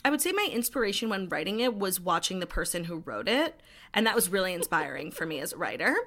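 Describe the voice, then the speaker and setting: A woman's voice: lowish voice, sing-song, quick pace, sharp tone. A woman co-hosting a chatty podcast, blunt and teasing, with casual swearing. Her voice swoops up and down with a lot of life in it. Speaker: podcast co-host. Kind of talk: casual chat.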